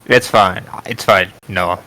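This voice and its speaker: A man's voice: low voice, steady tone, slow pace, sharp tone, low-quality recording. A man bantering casually with friends as a player in a party game.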